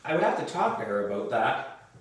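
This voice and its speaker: Guy reading a kids book out loud, takes his time, really echoey and far from the mic. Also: mid-range voice, big pitch swings, a little fast. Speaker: volunteer reader for a book club. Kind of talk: children's book read-aloud narration. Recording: distant mic in echoey room.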